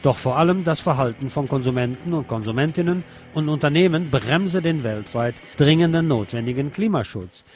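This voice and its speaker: A man's voice: on the high side, animated, normal speed, few pauses, darkish tone. A man reading scripted news as a radio host. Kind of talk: scripted news narration over music.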